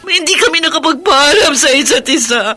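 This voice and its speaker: A woman's voice: high voice, animated, pushed and bright, faint music behind. A woman playing a grieving, anguished widow in a Filipino radio drama, pleading tearfully. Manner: emotional, tearful, drawn-out pleading. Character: grieving widow, anguished, haunted by her husband's death.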